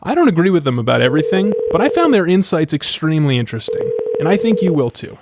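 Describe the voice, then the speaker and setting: A man's voice: fairly high pitch, sing-song, fast talker, loud music behind the voice. A man hosting a podcast and interviewing in a conversational style.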